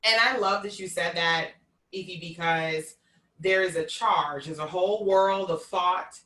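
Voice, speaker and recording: A woman's voice: lowish voice, measured pace, echoey room, recorded from a distance. A woman host from New York with a Queens accent, talking candidly and animatedly on a video call. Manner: lively, candid, animated storyteller. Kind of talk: casual conversation on a video call.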